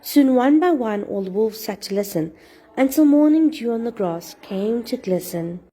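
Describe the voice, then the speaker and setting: A woman telling rhyming fairy tales to children as an expressive storyteller and host. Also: a little high, moderate pace.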